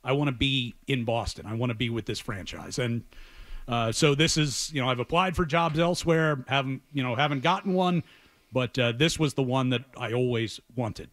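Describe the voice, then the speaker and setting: A man's voice: mid-range voice, moderate pace, fairly bright tone, no echo. A man in his forties, a sports announcer, answering casually as a guest in a radio interview. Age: 40s. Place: radio studio.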